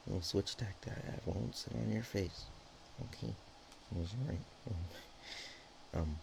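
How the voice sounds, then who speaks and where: A man's voice: low-pitched, vocal fry. A man voicing a bashful, affectionate dragon girl in an intimate ASMR roleplay, stammering and hesitant. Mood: flustered, embarrassed. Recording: faint background noise.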